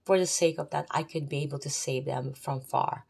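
Filipino woman, low voice, rambling unscripted faith talk with asides. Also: fairly even intonation, moderate pace, gravelly, a little mellow, breathy edge. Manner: rambling, off the cuff, chatty asides. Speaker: faith vlogger. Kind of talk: unscripted religious talk to camera.

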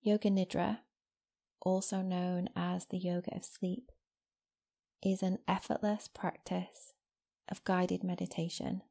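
A woman guiding a meditation as a yoga nidra teacher, speaking slowly and gently in a soft, soothing voice with long pauses. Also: lowish voice, little pitch movement, gravelly. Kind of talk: guided meditation. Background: new-age music.

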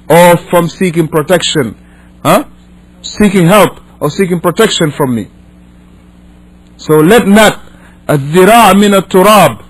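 Higher-pitched man teaching a religious class. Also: rough recording. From Senegal. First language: not English. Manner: emphatic, repeats and rephrases, asks rhetorical questions. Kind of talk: religious lesson, reading a text and explaining it.

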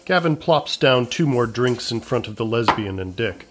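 A man's voice: mid-range voice, expressive, moderate pace, soft background music. A man reading scripted narration as the narrator of an audio comedy.

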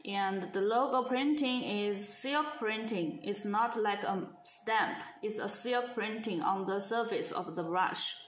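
Casting note: woman, unhurried, halting phrasing, echoey showroom with faint hiss. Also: medium pitch. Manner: slow, halting, simple phrasing with many restarts. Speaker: brush company representative. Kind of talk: product unboxing walkthrough. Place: indoor showroom at a marble table.

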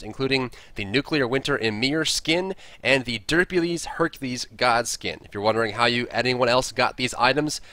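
A man's voice: medium pitch, bright, forceful tone, dry recording. A man presenting a scripted video tutorial, explaining things step by step. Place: close, dry voice-over recording.

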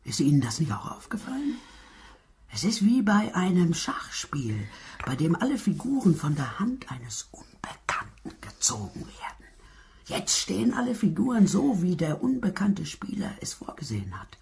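A sinister, domineering nurse from a thriller radio play. Her delivery is scornful and ominous, and her pitch swings dramatically. The recording is noisy. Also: big pitch swings, moderate pace, gravelly, darkish tone. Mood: hushed, ominous. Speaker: voice actress in an audio drama. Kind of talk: German radio drama acting.

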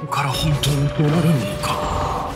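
A man playing a grave, doomed fighter in an anime game, weary yet defiant, gravelly, under loud music. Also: fairly high pitch, level tone, normal speed, lightly acted. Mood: weary, despairing. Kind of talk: dramatic anime game voice acting.

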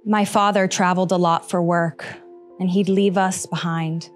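A woman's voice: lowish voice, fairly even intonation. Woman in her 30s, heartfelt stage monologue, handheld mic, faint music underneath. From Costa Rica. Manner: slow, earnest, heartfelt delivery with pauses. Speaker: storyteller on stage. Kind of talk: personal story monologue. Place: small stage in front of seated audience.

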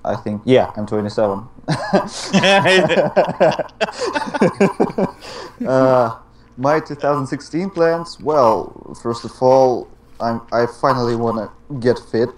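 A young man in his twenties speaks unhurried English with a Russian accent. He pauses often and slips in small laughs as he answers questions in a casual online chat. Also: mid-range voice, expressive. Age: 20s. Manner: hesitant, laughs between phrases. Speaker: interview guest, YouTuber.

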